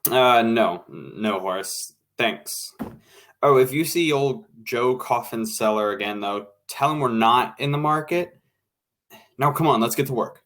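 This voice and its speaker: A man's voice: mid-range voice, measured pace, fairly bright tone. A man playing a wry, bossy radio show colleague in an old-time radio drama, with a dry, casual, take-charge manner. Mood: businesslike.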